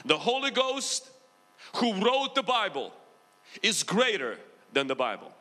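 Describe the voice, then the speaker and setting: A man's voice: high-pitched, very animated, a little slow, bright, forceful tone. A man with a mixed Ukrainian and American accent preaching a Pentecostal sermon in a large church and calling the congregation to answer him. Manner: preaching with rising intensity, drawing call-and-response from the congregation. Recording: long hall reverb in a church.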